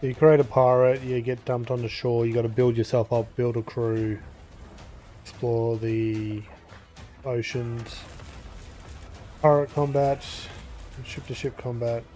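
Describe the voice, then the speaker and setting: A man's voice: mid-range voice, flat delivery, measured pace, long pauses, mellow, soft background music. A tired, congested man chatting casually on a livestream, rambling and thinking aloud as he plays. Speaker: game streamer. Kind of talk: casual livestream chat over gameplay.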